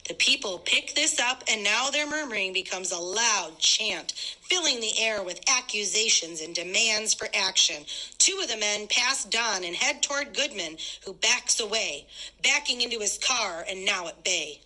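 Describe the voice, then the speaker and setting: A woman's voice: medium pitch, animated, moderate pace, bright, forceful tone, low-quality recording. A woman reading stage directions aloud as the narrator of a dramatic script.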